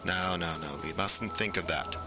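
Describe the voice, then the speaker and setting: Deep-voiced, patronising male therapist played by an actor. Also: fairly even intonation, normal speed, over music, natural voice. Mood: gently scolding. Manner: coaxing, condescending, tag questions. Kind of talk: audio drama acting.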